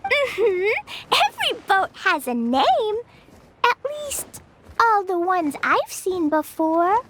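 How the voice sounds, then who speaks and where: A woman voicing a tiny, excitable, childlike female fairy companion in an anime game dub, with a squeaky voice. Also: slow pace, noisy background, heavily acted. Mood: curious, chatty. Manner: refers to herself in the third person. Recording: background music throughout.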